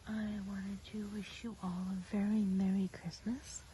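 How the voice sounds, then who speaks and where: A woman speaking in a soft, breathy whisper, chatting casually as an ASMR host. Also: mid-range voice, level tone, a little slow, low-quality recording. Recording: faint background noise.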